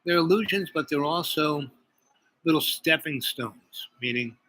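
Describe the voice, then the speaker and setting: A man's voice: on the high side, measured pace, pushed and bright, rough recording. A man hosting a casual spiritual chat, rambling with many fillers and restarts. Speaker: podcast host.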